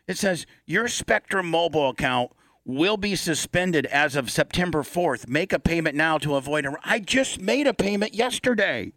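A man's voice: fairly high pitch, lively intonation, moderate pace, a bit bright, no echo. A man hosting a talk show, bantering and telling stories in a casual way.

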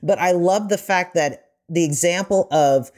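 Low-voiced, earnest woman on a podcast. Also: a little slow, a little mellow. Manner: earnest, emphatic. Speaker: podcast panelist. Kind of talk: conversational commentary.

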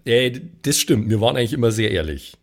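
A man's voice: medium pitch, animated, fast talker. A man chatting casually as a player in an improvised tabletop role-playing show. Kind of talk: casual improvised game chat.

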